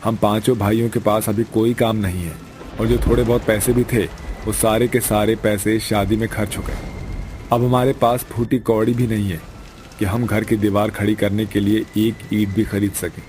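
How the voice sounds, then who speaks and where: A man's voice: lowish voice, flat delivery, normal speed, a little mellow, slight background noise, lightly acted. A man voicing a poor, earnest young husband in a cartoon family story. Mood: worried, discouraged. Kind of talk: cartoon dialogue acting. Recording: music behind the voice.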